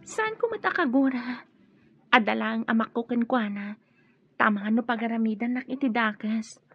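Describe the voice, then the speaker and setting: High, husky, wary young woman. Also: moderate pace. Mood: uneasy. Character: wary, fearful young woman torn by a family feud. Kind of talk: radio drama acting. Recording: muffled, lo-fi radio drama audio.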